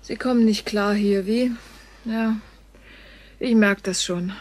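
A woman playing an informal, friendly and frank Earth woman in a science fiction radio play, with a halting, colloquial delivery. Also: mid-range voice, fairly even intonation, darkish tone. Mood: sympathetic, frank.

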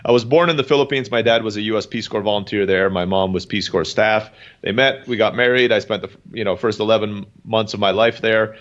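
A man's voice: fairly low pitch, steady tone, fairly quick, few pauses, fairly bright tone. A man in his thirties who grew up in Turkey, a guest telling his story in a chatty way, with lots of "um" and "you know". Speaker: guest entrepreneur. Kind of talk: casual interview talk. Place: home in Mexico. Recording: dull lo-fi call audio.

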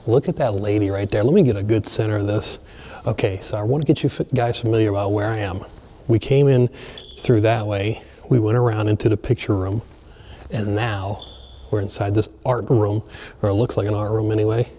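A man excitedly narrating a walkthrough of an empty mansion, chatting to viewers as he films. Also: fairly low pitch, brisk. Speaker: abandoned places explorer. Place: large empty mansion, indoors.

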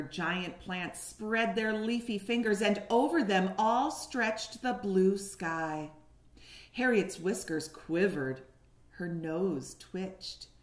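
A woman around her forties, a kindergarten teacher reading a picture book aloud expressively and putting questions to young listeners, recorded at home on a webcam. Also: medium pitch. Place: living room at home, indoors. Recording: roomy echo on a home webcam.

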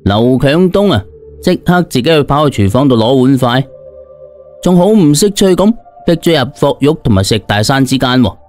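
A man telling a dramatised story in the first person, in a conversational, expressive way. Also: medium pitch, normal speed, faint music behind. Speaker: audiobook narrator.

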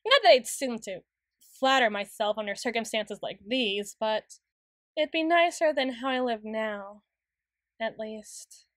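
Woman acting a high-voiced, flustered tsundere young woman. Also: expressive, no room sound, clean studio sound. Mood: guarded, weary. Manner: halting, stammering, formal wordy phrasing. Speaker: audio roleplay voice actor. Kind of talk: ASMR roleplay, close and intimate acting.

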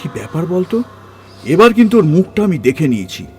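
A man's voice: fairly high pitch, very animated, brisk, soft background music. A man acting a bossy, curious man in charge in an audio story, with a commanding, colloquial manner.

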